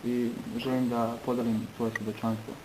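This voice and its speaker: A man from Serbia giving an earnest personal testimony in short phrases, pausing between them for an interpreter. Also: medium pitch, level tone, noisy background.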